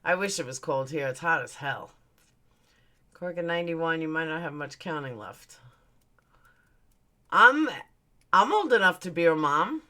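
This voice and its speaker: A woman's voice: deep voice, expressive, a little slow, long pauses, sharp tone. A woman in her forties hosting a livestream, chatting casually as she reads out and answers viewer comments, blunt and swearing now and then. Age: 40s. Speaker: livestream host.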